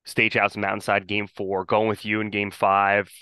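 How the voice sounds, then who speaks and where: A man's voice: lowish voice, monotone, moderate pace, bright, forceful tone, clean tone, dry recording, clean studio sound. A man co-hosting a sports podcast, talking earnestly with frequent restarts. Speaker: podcast co-host. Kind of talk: casual sports podcast chat.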